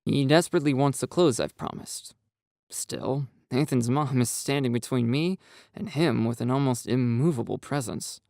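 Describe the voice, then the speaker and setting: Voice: mid-range voice, normal speed, gravelly, mellow, dry recording. A narrator reading a dramatised first-person story in a scripted style. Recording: dry, music behind. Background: background music.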